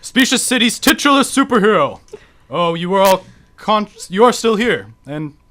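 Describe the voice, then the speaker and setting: A young man voicing a vain, pompous superhero in a student radio drama, declaiming slowly and grandly. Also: high voice, very animated, sharp tone, pitched above the performer's own voice, exaggerated voice. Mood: grandiose. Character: pompous, vain male superhero, credit-hungry.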